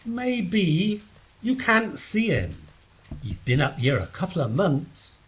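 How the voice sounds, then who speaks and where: A man's voice: sits high, sing-song, slow, full character voice. A man playing a dim-witted, chatty castle guard who rambles and bumbles along. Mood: bumbling.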